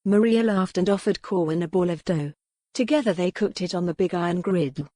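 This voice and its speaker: A woman's voice: on the low side, a little fast, warm tone, dry recording, clean studio sound. A woman reading a romance novel aloud as scripted audiobook narration. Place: dry recording space.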